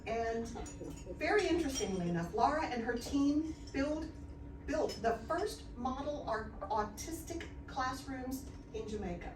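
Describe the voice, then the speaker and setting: A woman's voice: high-pitched, animated, measured pace, noisy background. A woman hosting an event, reading out a formal, admiring introduction of the speaker. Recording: echoey room from far off, clatter behind.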